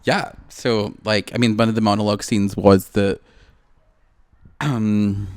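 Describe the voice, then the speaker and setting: A Scottish man in his late twenties with a lowish voice, rambling through casual podcast chat with jokes at his own expense. The sound is dry and close, with no room around it. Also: steady tone, a little slow, no echo. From Scotland. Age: late 20s. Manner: rambling, joking, self-deprecating. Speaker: podcast co-host.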